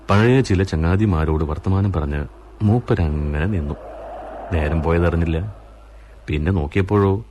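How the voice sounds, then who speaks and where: A man narrating a radio story in a measured, scripted storytelling delivery. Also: low voice, darkish tone, a little hiss or hum.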